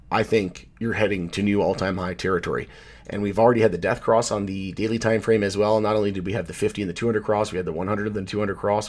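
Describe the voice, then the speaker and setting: A man with a somewhat low, hoarse voice hosts a casual commentary on market charts. He rambles easily, leans on fillers and stops to correct himself mid-sentence. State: hoarse, losing his voice. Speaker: channel host, market commentator.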